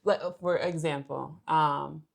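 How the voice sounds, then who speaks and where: A young woman with an American accent co-hosting a relationship show, explaining things casually and haltingly as she thinks aloud. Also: deep voice, steady tone, creaky. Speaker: co-host of a couples' relationship show.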